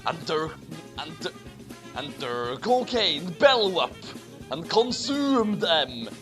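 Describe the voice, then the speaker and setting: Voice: mid-range voice, very animated, slow pace, over music. A reader doing a comedic dramatic reading of badly written fan fiction, stumbling and repeating phrases over the garbled text.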